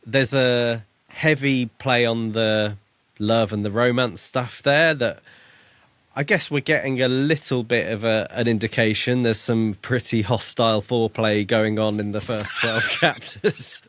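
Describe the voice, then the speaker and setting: A man's voice: medium pitch, measured pace, a bit bright. A man co-hosting a book podcast, talking through a story in a casual way.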